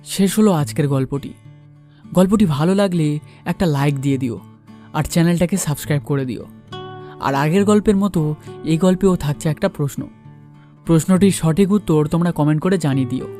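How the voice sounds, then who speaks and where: A man's voice: on the high side, moderate pace, long pauses, dark tone. A man narrating a scripted ghost story dramatically, as the host of a story channel. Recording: music under the voice.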